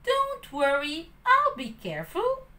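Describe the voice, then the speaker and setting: A woman voicing an eager young wooden puppet boy in a high, excited voice for a read-aloud storybook. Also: slow pace, exaggerated voice. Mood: pleading. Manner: eager, pleading, high-pitched.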